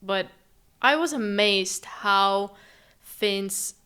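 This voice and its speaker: A young woman from Uzbekistan, a native Russian speaker, chatting as a podcast guest in a lively way with frequent laughs. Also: medium pitch, clear, resonant tone. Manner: lively, laughs often, quick asides. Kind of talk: casual chat.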